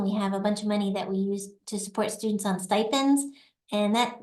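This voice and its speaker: A woman's voice: mid-range voice, a little mellow, echoey room. A middle-aged woman, a university dean, chatting through her answers, laughing easily and correcting herself as she goes. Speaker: assistant dean of a graduate school, guest. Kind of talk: conversational interview answers.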